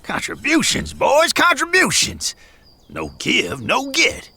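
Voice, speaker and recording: An actor playing a sly, florid hobo boss in an audio drama, with a rough voice and folksy, mock-fancy wording. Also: male voice, very high voice, wildly swooping pitch, takes it slowly, bright, forceful tone, exaggerated voice. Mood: sly, coaxing. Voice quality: gravelly, rough. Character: sly, florid older hobo presiding over a stew pot.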